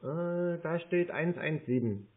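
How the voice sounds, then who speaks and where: A man on a phone call who plays along as a card holder, calmly reading out numbers one digit at a time. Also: a little high, slow pace. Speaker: caller posing as a card holder. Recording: narrow phone line.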